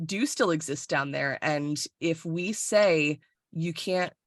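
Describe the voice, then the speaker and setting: Woman podcast cohost from florida, kinda low voice, swears a lot, laughs, dry video call audio. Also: slow, no echo. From Florida, USA. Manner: animated, blunt, swears freely, laughs often. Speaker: podcast co-host. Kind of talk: casual chat. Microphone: recorded over a video call.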